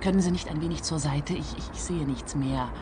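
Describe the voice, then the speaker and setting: A woman's voice: low-pitched, fairly quick, loud music behind the voice, close to an everyday voice. An actress playing an eager, naive young woman training as a private detective in a radio crime comedy, chatty and earnest.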